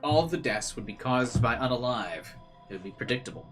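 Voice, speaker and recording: A male let's player with a medium-pitched voice reads from a visual novel. He slips between narration and casual remarks. Faint game music sits behind him. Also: lively intonation, a little fast, fairly bright tone. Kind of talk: visual novel read-aloud with casual commentary.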